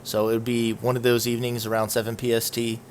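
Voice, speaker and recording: A man's voice: mid-range voice, completely flat delivery, fast talker, a little hiss or hum. A young man hosting a podcast in casual chat, teasing his guests and laughing easily, speaking from home on a call. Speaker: podcast host.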